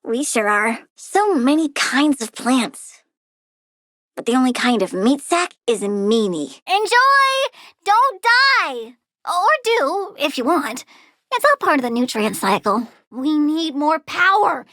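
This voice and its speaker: A woman's voice: high voice, a bit bright, no room sound, clean studio sound, heavily acted. A woman voicing a childlike, sweet and quirky girl plant villain with gleeful menace, as a video game character. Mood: gleeful, fierce. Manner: cutesy, childlike, matter-of-fact about menace.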